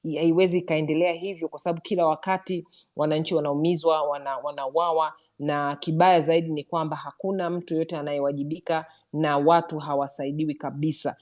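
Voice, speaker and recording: A woman hosting a live online discussion, summarizing points quickly with frequent fillers and inviting questions. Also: low-pitched, fairly even intonation, darkish tone. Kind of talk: moderating a live audio discussion. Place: online audio room.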